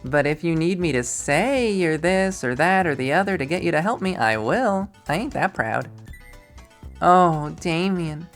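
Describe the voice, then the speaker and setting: A man's voice: fairly high pitch, a bit bright, pitched above the performer's own voice. A man voicing a playful, blunt young college woman in a visual novel, with a teasing, slangy manner. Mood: mock swooning. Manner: teasing, slangy, hesitant when asking favours.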